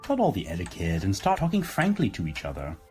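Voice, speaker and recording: A man's voice: sits low, huge pitch swings, quick pace, darkish tone, breathy edge, faint music behind, full character voice. Man acting a weary president, wildly swooping delivery. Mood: coaxing, flattering. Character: weary, wine-drinking male president, flattering and maudlin. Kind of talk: audio drama acting.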